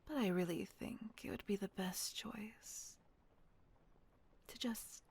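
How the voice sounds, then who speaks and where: A woman voicing a gentle, protective female vampire caretaker in a whispered audio roleplay, soothing and coaxing. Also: mid-range voice, slow pace. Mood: tender whisper. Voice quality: soft breathy whisper.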